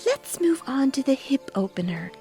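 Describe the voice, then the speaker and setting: A woman's voice: high-pitched, very animated, mellow, faint music behind. A woman playing a serene, affected new-age yoga instructor in a comedic audio play. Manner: slow, soothing, affected.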